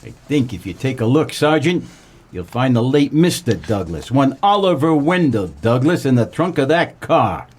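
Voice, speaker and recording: A man playing a wisecracking, deadpan 1940s private detective in a comedic noir radio play, with terse, slangy tough-guy delivery and a husky voice. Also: medium pitch, normal speed. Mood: smug, accusing. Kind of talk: comedic noir radio play, first-person narration and dialogue.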